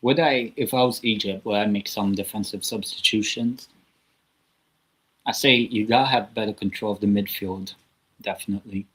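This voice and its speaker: A man commentates a football match live from a small room at home, his voice sitting low. He chats and rambles casually between plays, adding asides to himself as the game goes on. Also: normal speed, fairly bright tone, breathy edge, low-quality recording. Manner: chatty, rambling, self-talking asides. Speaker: watchalong host.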